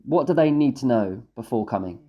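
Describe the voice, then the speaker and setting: A man's voice: mid-range voice, expressive, fairly quick, dull audio. A man interviewing a guest on a podcast, asking short, direct questions.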